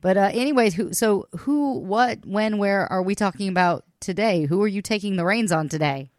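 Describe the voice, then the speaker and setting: A woman's voice: medium pitch, normal speed, a little mellow, no room sound, studio quality. A woman hosting a podcast in casual, chatty talk, teasing and giggling often. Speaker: podcast host.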